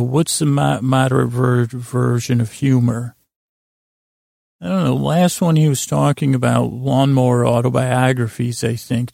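A man hosting a bedtime podcast, rambling in a halting, meandering way with a gravelly, creaky voice. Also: medium pitch, a little mellow, no room sound, clean studio sound. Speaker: podcast host. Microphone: close podcast microphone.

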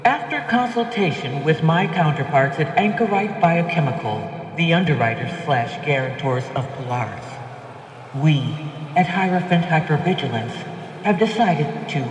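A man playing an imperious corporate CEO in an audio drama, making a pompous, deliberate proclamation. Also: fairly high pitch, expressive, lightly acted. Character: imperious male corporate CEO who owns the arena. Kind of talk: audio drama public address. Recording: echoing arena PA, long reverb, faint noise.

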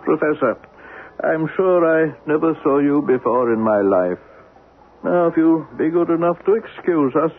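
An actor playing a curt, haughty gentleman in a 1970s radio drama, with a formal, clipped and icily polite manner. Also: on the high side, animated, normal speed. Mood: coolly polite. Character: curt, haughty gentleman irritated at being disturbed. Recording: tinny, noisy 1970s radio broadcast.

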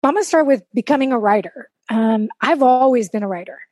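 A woman's voice: a little high, expressive, a little fast, a little mellow, no echo, studio quality. A woman from South Texas whose first language is Spanish, telling her story openly as an interview guest. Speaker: guest, coach and speaker. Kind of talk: casual interview chat.

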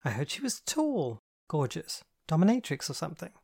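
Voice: medium pitch, big pitch swings, normal speed, vocal fry, darkish tone, breathy edge, voice pushed up, full character voice. A reader voicing a flamboyant, camp young man who speaks grandly and teases his friend, in an audiobook. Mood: teasing. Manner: grand, theatrical, teasing. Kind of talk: audiobook dialogue.

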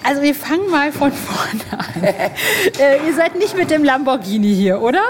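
A woman's voice: sits high, sing-song, normal speed. A middle-aged woman hosting a casual interview, chatting in an enthusiastic, slangy way. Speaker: podcast host, interviewer. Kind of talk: casual, informal interview chat. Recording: clearly noisy background.

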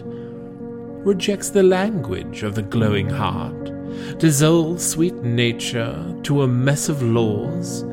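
A man reciting poetry in a theatrical, deliberate manner. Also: fairly high pitch. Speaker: poetry podcast reader. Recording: music playing behind the voice.

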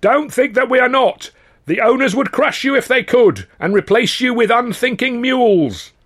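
A man voicing a fiery male union orator who declaims slowly and passionately to a crowd. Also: very high-pitched, lively intonation, fairly bright tone, voice pushed up, exaggerated voice. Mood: impassioned. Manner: declaiming, slow and impassioned.

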